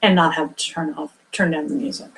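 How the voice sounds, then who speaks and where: Woman co-host, lively storyteller with a big projecting voice, casual review banter. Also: sits low, brisk, low-quality recording. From former record store worker. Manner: animated storyteller, loud projecting voice. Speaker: podcast co-host. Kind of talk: casual movie review chat.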